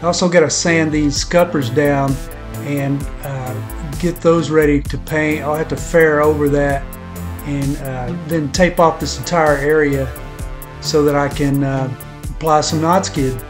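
A man narrating his boat repair as he works, in a relaxed, plainspoken way with many "uh" fillers and restarts. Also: on the high side, measured pace, over music. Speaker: boat refit vlogger. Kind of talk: casual how-to narration while working. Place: outdoors on a sailboat deck.

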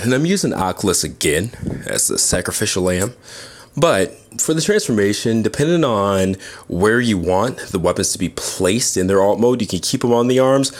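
A man reviewing toys in an informal, matter-of-fact way, as a casual hands-on demonstration. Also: lowish voice. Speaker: toy reviewer. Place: indoors at a tabletop display. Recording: clear background noise, handling clicks.

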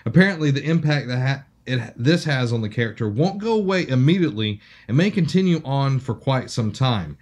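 A bearded man in his thirties co-hosting a casual podcast, reading entertainment news aloud with a steady, jokey delivery. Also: medium pitch. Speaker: podcast co-host. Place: small studio with city-skyline backdrop.

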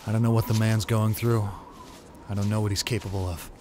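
Man acting a tense low-voiced survivor leader. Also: little pitch movement, brisk, a little hiss or hum, lightly acted. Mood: grave, uneasy. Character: adult male survivor leader, protective father, tense and decisive. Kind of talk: comic dub voice acting. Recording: loud music bed under the voice.

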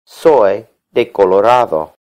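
Paco, a woman doing a low boy's voice. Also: slow pace, darkish tone. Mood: plain. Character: schoolboy Paco, young and easygoing.

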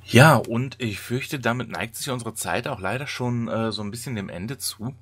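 A man's voice: mid-range voice, moderate pace, a bit bright, no room sound. A man hosting a casual podcast, chatty and articulate. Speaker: podcast host.

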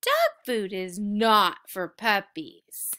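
A woman voicing a hungry, food-loving little female puppy in a storybook, with expressive, emphatic delivery. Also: medium pitch, drawn-out words, exaggerated voice. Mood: disgusted.

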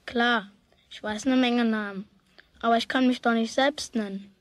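A stubborn, outspoken young boy, acted by a woman in a children's radio play. The voice is fairly high and blunt, with a grumbling, colloquial way of talking. It is recorded close and dry. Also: steady tone, moderate pace, no room sound, close to an everyday voice. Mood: pondering, matter-of-fact.

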